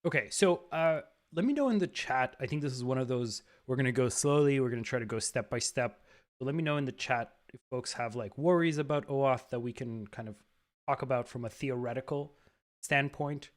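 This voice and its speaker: A man's voice: mid-range voice, a little fast. A man hosting a live stream, talking casually in an upbeat way, with lots of fillers and repeated words.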